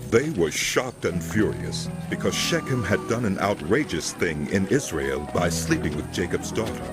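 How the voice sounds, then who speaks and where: A man narrating scripture from a script as a solemn, measured storyteller. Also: sits low, very animated, over music.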